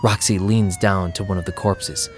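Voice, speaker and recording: A man's voice: deep voice, fairly even intonation, moderate pace, background noise, lightly acted. An actor playing a weary, rattled vampire club DJ who narrates his night in a wry first-person audio drama style. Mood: tense, wry. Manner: wry, sardonic. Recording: music behind the voice.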